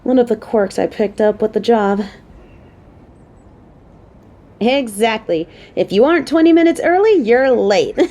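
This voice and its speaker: Woman acting a playful, motherly coworker with mellow voice. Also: fairly high pitch, lively intonation, moderate pace. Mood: chatty.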